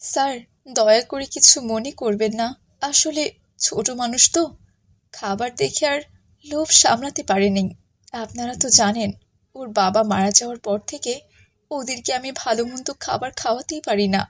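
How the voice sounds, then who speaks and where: A woman's voice: a little high, normal speed, no echo. A woman voicing a poor, humble widowed mother in a cartoon, tender and earnest with her children. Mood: apologetic, scolding. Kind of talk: Islamic cartoon dialogue.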